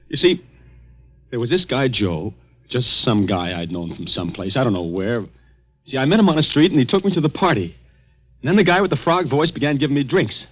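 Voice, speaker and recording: An actor playing an anxious, down-on-his-luck young American man in a 1940s radio drama, halting and agitated. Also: medium pitch, lightly acted. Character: shaken, anxious unemployed young man after a drugged night. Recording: dull, muffled old radio recording.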